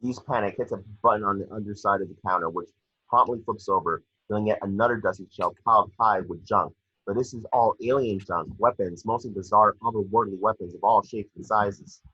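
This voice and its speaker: A man's voice: on the low side, fairly quick, bright, forceful tone, breathy edge, no echo. A man reading scripted action descriptions aloud as narrator of a film table read, occasionally stumbling. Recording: dry, dull lo-fi home recording.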